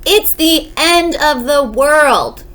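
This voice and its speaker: A woman plays a doting, fussy storybook wife. The voice is pitched high and swings excitedly. She exclaims and urges in an excitable way. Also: normal speed. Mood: panicked. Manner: exclaiming, fussy and sweetly urging.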